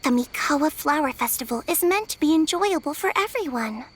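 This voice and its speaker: A woman voicing a thoughtful, gentle young helper in a fantasy video game, earnest and measured. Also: sits high, animated.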